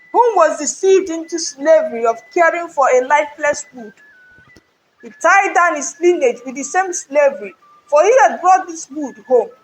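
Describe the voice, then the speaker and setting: A woman's voice: high-pitched, low-quality recording. A woman voicing a mighty, defiant male folktale hero who speaks solemnly and with authority. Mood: proud, declaring.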